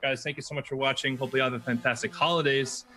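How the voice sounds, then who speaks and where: A man player giving a relaxed, friendly shout-out at the end of a stream. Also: mid-range voice, very fast.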